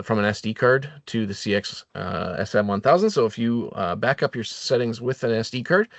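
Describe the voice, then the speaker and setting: Low-voiced man presenting a product webinar. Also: no echo. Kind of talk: explanatory product training presentation.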